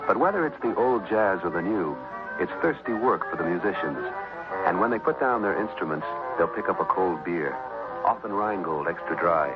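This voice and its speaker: A man's voice: low voice, animated, normal speed. An American man reading a scripted 1960s radio commercial as an announcer. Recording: dull vintage radio recording. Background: loud jazz music.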